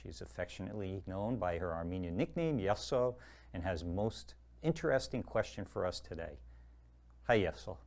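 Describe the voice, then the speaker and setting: A man hosting a genealogy program, presenting in a measured, formal way and interviewing a guest. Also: sits low, lively intonation, vocal fry, darkish tone. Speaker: host.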